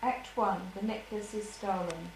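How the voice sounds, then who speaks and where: A woman's voice: medium pitch, fairly even intonation, a little slow. A female narrator reading out an amateur puppet show story, recorded on an echoey, noisy old home video.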